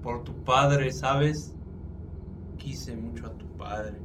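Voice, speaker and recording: An actor playing a drunk, pushy, foul-mouthed older Mexican family doctor in a radio drama, rambling crudely. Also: male voice, medium pitch, steady tone, very slow, far from the mic, very noisy. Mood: pushy, irritated.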